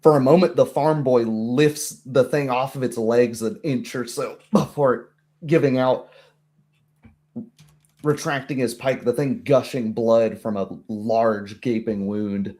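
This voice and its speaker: A man's voice: mid-range voice, fairly even intonation, takes it slowly, dark tone. A man narrating a tabletop roleplay as game master, with dramatic, descriptive storytelling.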